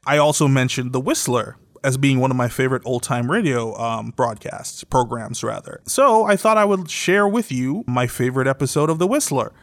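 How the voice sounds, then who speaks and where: A man's voice: mid-range voice, animated, normal speed, hardly any pauses. A man co-hosting a podcast in a casual, bantering style, playfully argumentative. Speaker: podcast co-host.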